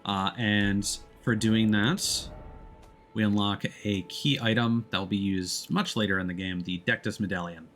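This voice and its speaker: Male game streamer, lower-pitched voice, casual and halting as he explains gameplay, music clearly behind him. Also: steady tone, a bit bright. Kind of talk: casual let's-play stream commentary. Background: background music.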